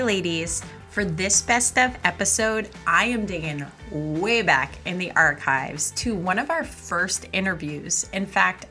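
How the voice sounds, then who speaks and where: Woman, podcast host, slightly lower pitch, informal effusive chat, faint music at times. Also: bright, forceful tone. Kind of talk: casual podcast intro and chat.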